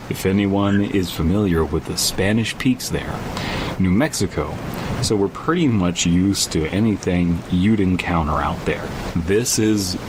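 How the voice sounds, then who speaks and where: A man co-hosting a podcast in casual banter and story reading, with a rambling, halting, wry delivery. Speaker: podcast co-host. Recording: noisy recording with low rumble.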